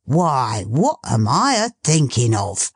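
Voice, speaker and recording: A woman's voice: rumbling low, big pitch swings, breathy edge, dry recording, clean studio sound, heavily acted. A woman voicing a good-natured old working-class father who muses aloud in homely dialect. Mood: musing. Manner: slow, musing, homely dialect.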